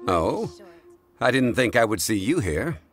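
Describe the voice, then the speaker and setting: An actor playing a calm, composed scholarly gentleman in a video game, speaking in a polite, measured way. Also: mid-range voice, soft background music.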